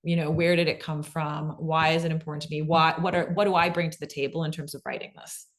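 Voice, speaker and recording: A woman with a fairly deep voice presents to a class on a video call. Her delivery is casual and conversational, with emphatic points and frequent little check-ins. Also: level tone, fast talker. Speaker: podcast pitching workshop presenter.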